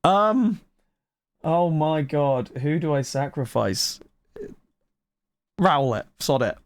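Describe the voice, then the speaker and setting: A woman giving casual, reactive gameplay commentary in an informal, excitable way. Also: low-pitched, slow pace, no echo. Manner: animated, reactive, giggly, informal with mild swearing. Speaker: gaming video host and player.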